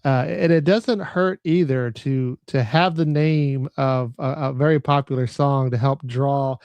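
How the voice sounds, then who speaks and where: A man hosting a podcast interview in casual chat, often stammering, repeating words and filling pauses. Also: medium pitch, steady tone, a little mellow, no echo, dull audio. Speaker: podcast host.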